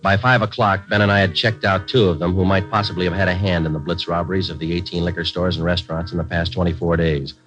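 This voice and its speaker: A man's voice: low voice, steady tone, quick pace, hardly any pauses, a bit bright. An American man playing a laconic, businesslike detective sergeant in a 1940s radio crime drama, speaking in clipped, matter-of-fact lines. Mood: deadpan narration. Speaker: narrator and lead actor. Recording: dull vintage radio recording with music.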